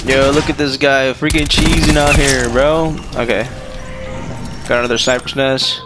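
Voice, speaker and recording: A young man giving live gameplay commentary, casual and slangy, who bursts into excited shouts and says his voice runs deeper after waking. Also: moderate pace, over music. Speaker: gaming YouTuber.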